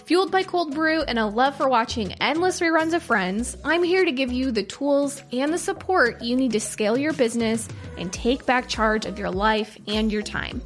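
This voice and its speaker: Upbeat podcast host, woman, slightly high voice, faint music underneath. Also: lively intonation, moderate pace, hardly any pauses. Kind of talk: scripted podcast intro.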